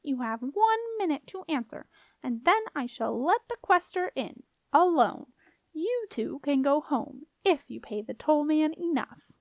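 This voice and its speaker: A woman voicing a grumpy male doorkeeper from a storybook, with a squeaky high voice and a sneering, sing-song, chanting delivery. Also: very animated, a little slow, no room sound, heavily acted. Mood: taunting. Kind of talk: storybook character voice.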